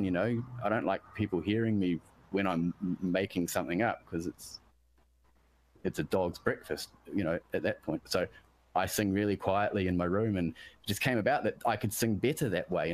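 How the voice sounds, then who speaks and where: Deep-voiced man rambling about music. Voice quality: deep bass voice. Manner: rambling, self-interrupting, searching for words. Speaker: musician guest. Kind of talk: casual chat about music production.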